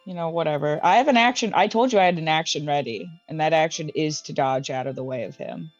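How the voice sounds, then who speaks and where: A woman's voice: sits low, fast talker, dry recording. A woman in casual, argumentative banter as a player at a roleplaying game table. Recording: dry, muffled audio with music underneath. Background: background music.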